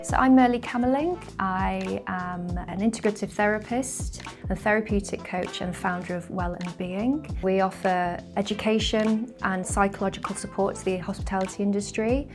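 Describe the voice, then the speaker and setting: Measured woman with creaky voice. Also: mid-range voice, over music. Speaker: therapist and coach. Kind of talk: reflective documentary interview.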